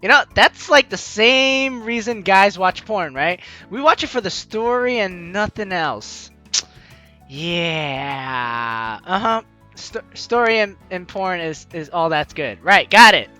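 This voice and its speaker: A man's voice: sits high, sing-song, slow pace. A man doing casual let's play commentary, reading game text aloud and adding teasing, sarcastic asides. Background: soft game music.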